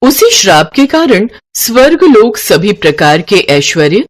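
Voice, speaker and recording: A woman's voice: medium pitch, big pitch swings, unhurried. A woman narrator delivering a scripted mythological tale. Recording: faint background noise.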